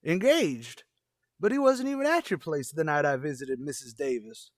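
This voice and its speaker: A man's voice: fairly high pitch, animated, normal speed, no room sound, studio quality, natural voice. A man playing a smitten, stubborn old custodian with folksy, rustic turns of phrase in a radio comedy. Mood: stubborn, lovestruck.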